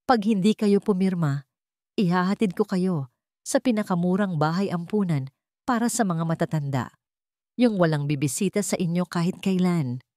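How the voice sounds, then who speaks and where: Lady narrator doing a mean daughter-in-law hissing threats, lowish voice. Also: moderate pace, dark tone, no echo, studio quality, close to an everyday voice. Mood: menacing. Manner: low venomous whisper. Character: cruel daughter-in-law, woman, whispering threats.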